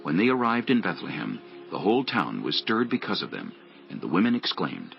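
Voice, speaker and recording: Deep, gravelly male scripture narrator. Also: wildly swooping pitch, brisk. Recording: dull lo-fi audio with music bed.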